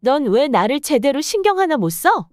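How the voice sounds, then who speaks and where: A woman's voice: high-pitched, very animated, normal speed, no room sound, soft background music. A woman voicing an irritable, demanding young wife in a scripted radio story reading. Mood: irritated. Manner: accusing, complaining. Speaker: voice actor reading the wife's lines.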